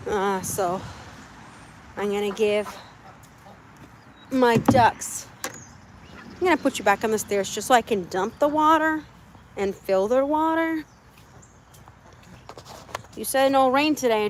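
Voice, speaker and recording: A woman's voice: a little high, measured pace, pauses often, a little hiss or hum, rough recording. A woman from Puerto Rico chatting with her live viewers while doing chores outdoors with her animals. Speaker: livestream host.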